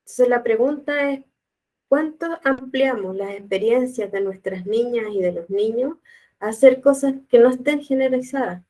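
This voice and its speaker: A woman giving a calm, explanatory educational talk to teachers and addressing viewers directly. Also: a little high. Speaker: teacher trainer. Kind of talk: educational presentation.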